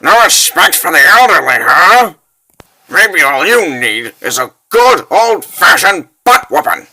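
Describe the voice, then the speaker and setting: A man voicing a cocky, grumbling old wizard in a comic dub, gruff and sarcastic. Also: a little high, big pitch swings, measured pace, sharp tone, pitched above the performer's own voice, full character voice, rough recording. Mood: defiant.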